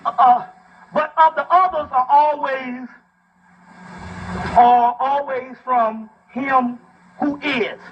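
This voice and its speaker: A man with a very high-pitched voice delivers a fiery, informal lecture. He reads from a text and breaks it down emphatically, swearing freely and throwing out rhetorical questions like a preacher. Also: breathy edge, muffled recording.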